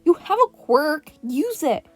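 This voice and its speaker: A woman's voice: sits high, sing-song, takes it slowly, no echo, soft background music, pitched above the performer's own voice, exaggerated voice. A woman voicing a brusque, impatient teenage girl who scolds and calls out in an amateur audio story. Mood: impatient, scolding. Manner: scolding, calling out.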